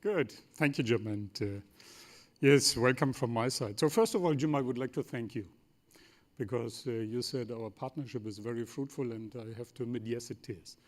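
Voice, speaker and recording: A German man, a native German speaker, talks as an executive on a forum panel in a German accent. His voice sits at a medium pitch. He is emphatic, building points from short, repeated phrases and rhetorical questions. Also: moderate pace. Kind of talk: semi-formal panel talk.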